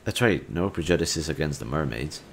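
Low-voiced, kind, bashful young man acted by a man. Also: animated, normal speed, gravelly, a little hiss or hum, heavily acted. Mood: earnest, friendly. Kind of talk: audio roleplay speaking softly to the listener.

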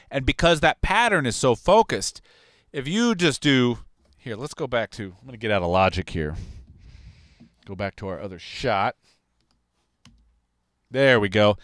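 A man in his forties, a recording engineer and host, talking in a relaxed, rambling, technical way in a studio control room on a broadcast mic. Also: medium pitch, clean tone, no room sound. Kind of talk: casual livestream talk on recording. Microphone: large broadcast mic on arm, headphones on.

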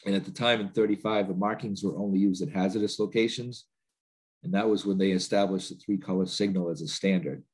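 A man's voice: low-pitched, fairly even intonation, brisk, breathy edge, rough recording. A man with a bit of a cold, giving a training webinar from a home office over a laptop video call, talking in loose sentences with many fillers and restarts. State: stuffy with a cold. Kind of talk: webinar presentation.

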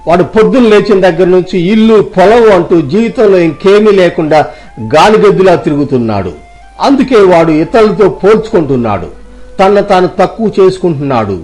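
A man's voice: high-pitched, lively intonation, brisk, a little mellow, faint music behind, rough recording. A man playing a wise, kindly middle-aged village uncle in a dramatized moral story, speaking earnestly and moralizing.

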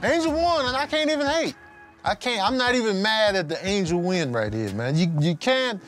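A man's voice: sits high, sing-song, moderate pace, a bit bright, soft background music. A man in his forties, a comedian reacting casually. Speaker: comedian contestant.

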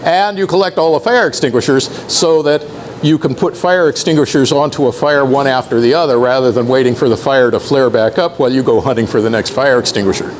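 Middle-aged man giving an unhurried talk. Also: mid-range voice, a little hiss or hum. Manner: unhurried, explanatory, with some restarts and fillers. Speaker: presenter. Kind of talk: live talk to sailors. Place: club room.

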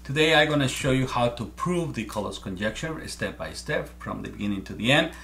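A man's voice: mid-range voice, animated, brisk, creaky, fairly bright tone. Earnest man with a Mexican accent. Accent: Mexican Spanish. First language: Spanish. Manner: earnest, repeats words while thinking. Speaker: amateur math presenter. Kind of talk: explaining step by step to camera. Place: small room at home, indoors.

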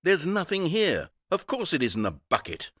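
A man's voice: a little high, normal speed, dry recording, exaggerated voice. A man playing a fussy, theatrical video game narrator, dramatic, exasperated and condescending by turns. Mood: bewildered. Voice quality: husky, very animated delivery. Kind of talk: scripted video game voice acting. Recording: dry close studio recording.

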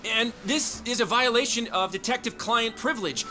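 An actor playing an anxious, polite young lawyer in a video game, earnest and defensive. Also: male voice, very high-pitched, brisk, over music. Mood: uneasy, defensive. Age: young adult. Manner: flustered, earnest. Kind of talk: video game cutscene acting, English dub.

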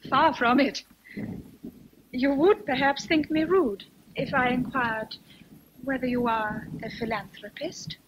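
An actress playing a self-controlled, earnest young governess in a radio drama, speaking formally and frankly. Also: female voice, high voice, slow pace, background noise. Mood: composed, frank. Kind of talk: vintage radio drama acting.

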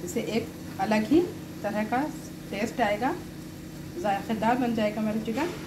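High-voiced woman over sizzling kitchen noise. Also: measured pace. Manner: step-by-step, addresses viewers as friends. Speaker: home cook presenting a recipe. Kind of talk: casual recipe narration. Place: home kitchen by the stove. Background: loud sizzling and frying food.

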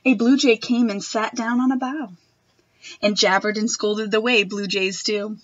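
A young woman reading a picture book aloud to children in a gentle, expressive manner. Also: medium pitch, unhurried, no echo, highs cut off. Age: young adult. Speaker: storytime reader. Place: wood-panelled room at home.

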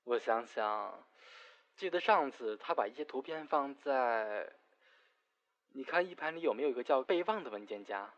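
A man acting a lively, helpful friend on a phone call in an audio drama. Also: mid-range voice, normal speed, natural voice. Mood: animated.

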